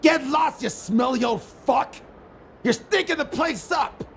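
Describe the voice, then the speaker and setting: An actor playing a brash young street thug in a video game dub, loud, crude and taunting. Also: male voice, very high-pitched, unhurried, slight background noise. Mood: jeering, aggressive.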